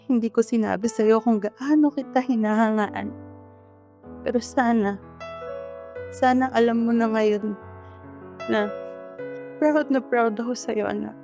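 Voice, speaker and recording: A woman's voice: a little high, steady tone, unhurried, long pauses, mellow. A Filipino woman playing a loving, remorseful mother in an emotional radio drama, speaking in a pleading, heartfelt way. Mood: remorseful, tender. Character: remorseful, loving widowed mother apologizing to her eldest son. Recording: piano music under the voice.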